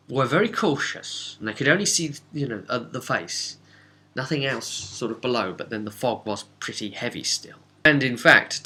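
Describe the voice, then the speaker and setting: Bright, forceful low-voiced man storytelling. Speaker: game master recapping a session. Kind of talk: casual storytelling recap of a tabletop game.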